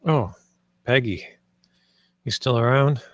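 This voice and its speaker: A man's voice: medium pitch, slow pace, no room sound, lightly acted. A man reading the part of a downcast, subdued creative developer at a screenplay table read.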